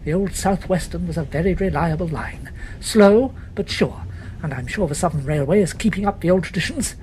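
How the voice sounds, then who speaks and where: A woman voicing a chatty, gallant young English gentleman who talks in a breezy, teasing way. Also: on the low side, animated, brisk, a little hiss or hum, close to an everyday voice, rough recording.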